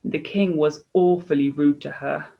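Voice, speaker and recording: A woman's voice: deep voice, fairly even intonation, a little slow, gravelly, breathy edge. A woman storyteller reading a children's story expressively, in a slightly dull, lo-fi recording.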